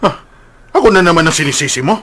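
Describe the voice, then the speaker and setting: A man's voice: fairly high pitch, very animated, fast talker, fairly bright tone. A male actor playing a stern, middle-aged father in a Filipino radio drama, with a Filipino accent and a domineering temper. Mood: stubborn, irritated. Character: stern, domineering, angry father of grown son.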